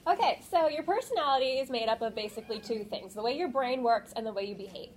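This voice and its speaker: A young woman with a somewhat high, loud voice presents to a convention audience. She speaks without a microphone, and faint room noise sits under her voice. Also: lively intonation, quick pace, rough recording. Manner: says she has a loud voice. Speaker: convention panelist. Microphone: no microphone, recorded from the room.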